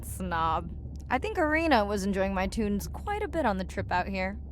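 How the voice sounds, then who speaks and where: A woman playing a warm, earnest and playful young diver and ocean researcher in a naturalistic video game performance. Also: mid-range voice, very animated, normal speed, noisy background, close to an everyday voice.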